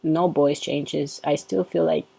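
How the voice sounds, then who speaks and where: A man with a soft, androgynous-sounding voice, talking through a casual update vlog in a relaxed, unhurried way. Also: on the high side, gravelly, husky, poor audio. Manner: relaxed and unhurried, with frequent ums. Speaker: vlogger.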